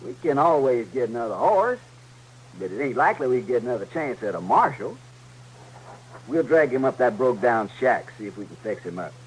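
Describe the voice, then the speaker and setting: An actor playing a scheming Western outlaw leader in an old-time radio drama, with a rural American West accent and a folksy, commanding way of talking. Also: male voice, mid-range voice, sing-song, a little fast, natural voice, rough recording. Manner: folksy, commanding drawl with tag questions. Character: domineering, scheming Western outlaw leader bossing his slow partner. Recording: old radio recording with faint hum and noise.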